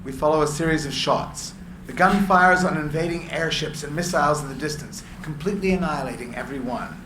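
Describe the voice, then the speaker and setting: A man's voice: on the high side, a little fast. A man reading screenplay stage directions aloud as dramatic narration at a live reading. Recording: echoey room, clear background noise.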